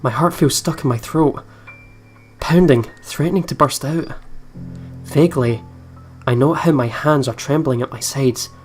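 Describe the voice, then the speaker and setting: A woman's voice: rumbling low, measured pace, a little mellow. A woman hosting a let's play, chatting casually and reading a visual novel's story aloud with reactions of her own. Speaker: let's play video host. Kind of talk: casual game commentary and reading narration aloud. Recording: music behind the voice. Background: visual novel game music.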